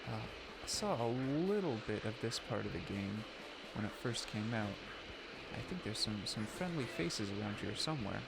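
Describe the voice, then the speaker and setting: A man's voice: on the low side, very animated, moderate pace, vocal fry, low-quality recording. A man from Canada with a slightly New York-like accent, rambling chattily as he plays a game on stream through a turned-down laptop mic. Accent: somewhat New York-like. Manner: rambling, self-aware, chatty with filler restarts. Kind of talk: casual let's-play commentary. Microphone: laptop mic turned down low. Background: game music.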